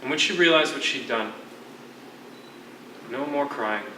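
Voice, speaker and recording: A stage actor playing a world-weary, wry imprisoned writer with a wordy, formal, sardonic manner. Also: male voice, mid-range voice, unhurried, sharp tone. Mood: grim storytelling. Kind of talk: theatrical stage acting. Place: on a stage in a hall. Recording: echoey theatre stage, distant mic, faint noise.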